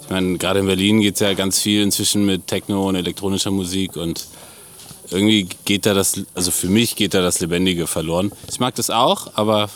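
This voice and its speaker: A man who plays double bass in a band, talking casually and thoughtfully in an interview. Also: fairly even intonation, a little fast, slight background noise.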